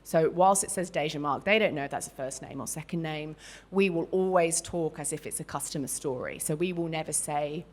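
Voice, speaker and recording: A middle-aged Australian woman speaks in a low register, telling her story in a loose, candid way. She rambles, restarts her sentences and fills gaps with plenty of ums, like a founder chatting openly on a panel. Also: brisk. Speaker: business founder, panel guest. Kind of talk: candid panel talk at a live event.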